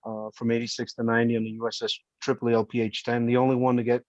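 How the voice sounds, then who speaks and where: A man's voice: medium pitch, monotone, racing, darkish tone, no room sound, muffled recording. A man in his fifties, born in Colombia and raised in New Jersey, telling his story as a podcast guest in an earnest, heartfelt way. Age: 50s. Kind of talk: casual interview storytelling.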